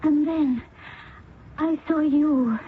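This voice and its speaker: An actress playing a dying young Indian princess who speaks faintly and haltingly. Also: female voice, sits high. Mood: fading, devoted. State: weak, dying. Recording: old radio broadcast, phone-like band with faint hiss.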